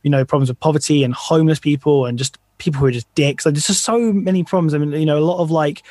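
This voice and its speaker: A man's voice: a little high, quick pace, darkish tone, no echo, clean studio sound. A man in a pop punk band, answering interview questions in a loose, earnest way full of fillers.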